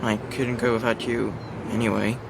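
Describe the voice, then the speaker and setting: A man voices a gentle, naive brother in a video game. He speaks earnestly and simply in a creaky, mid-pitched voice, with clear noise behind. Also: flat delivery, moderate pace, natural voice.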